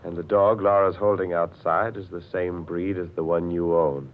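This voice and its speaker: An actor playing a calm, vengeful man in a 1940s radio drama, measured and coolly controlled. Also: deep voice, natural voice. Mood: coolly triumphant. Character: calm, vengeful man springing a trap on a murderess. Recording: narrow, hissy 1940s radio recording.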